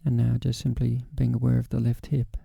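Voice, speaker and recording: A man guiding a body scan meditation in a calm, gentle, unhurried way with long pauses. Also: medium pitch, vocal fry, slightly rough, dry recording. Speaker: meditation guide.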